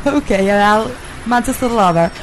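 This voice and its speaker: An Italian woman hosting a travel vlog, chatty and lively, halting and careful when she switches to English with an Italian accent. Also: medium pitch, a little hiss or hum, low-quality recording. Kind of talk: casual on-the-street presenting.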